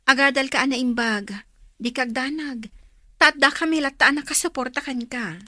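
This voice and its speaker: An actress playing a supportive, caring young older sister in a radio drama, with a Filipino accent and an encouraging manner. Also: female voice, high voice, normal speed, pushed and bright, no room sound, clean studio sound. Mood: reassuring. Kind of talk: Ilocano radio drama acting.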